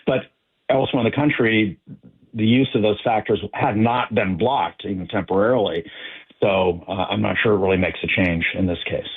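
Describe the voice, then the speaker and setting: Low-voiced man on a tinny phone line. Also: fairly even intonation, breathy edge. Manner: measured, explanatory, halting with many fillers. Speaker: legal analyst guest. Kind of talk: phone-in news interview. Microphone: telephone.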